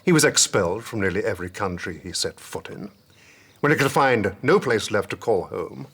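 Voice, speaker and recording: A stern old-school church official, husky, righteous, voice swings a lot, period drama. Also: male voice, fairly low pitch, expressive, normal speed, a bit bright, exaggerated voice. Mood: indignant. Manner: grave, righteous, formal. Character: stern, indignant churchman condemning a scandalous book.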